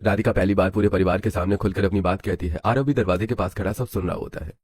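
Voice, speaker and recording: A man's voice: low-pitched, steady tone, quick pace, darkish tone, no echo. A man narrating a scripted story. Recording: music under the voice. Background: background music.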